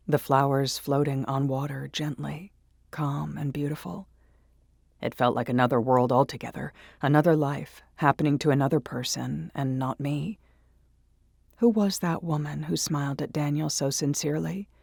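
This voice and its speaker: A woman reading a first-person horror story in a hushed, dreamy way that slips into whispers. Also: low-pitched, vocal fry, dry recording, clean studio sound. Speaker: narrator. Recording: soft music behind.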